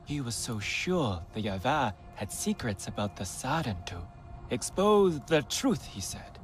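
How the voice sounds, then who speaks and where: A man playing a young alien researcher in a video game, earnest and eager, talking in a halting, animated way. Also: medium pitch, faint music behind. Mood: flustered, earnest. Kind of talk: video game character acting.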